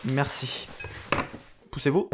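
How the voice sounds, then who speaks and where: A man's voice: slow pace, background noise, lightly acted. A man playing an exasperated young antihero, sarcastic and colloquial, in a comic audio drama. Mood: snarky, uneasy. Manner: hesitant, colloquial, snarky. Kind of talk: French comic audio fiction acting.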